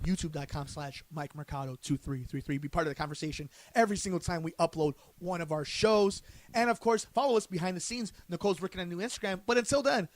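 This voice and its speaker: A man's voice: sits high, no echo. A man hosting a podcast in a casual, bantering style. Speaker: sports and entertainment podcast host.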